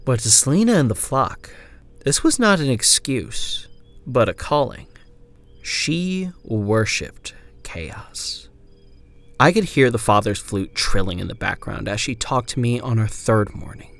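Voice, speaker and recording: A man playing a wary frontier traveller, narrating a scripted horror journal in a grave, measured, dramatic way. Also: mid-range voice, lively intonation, a bit bright, faint music behind. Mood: grave, brooding.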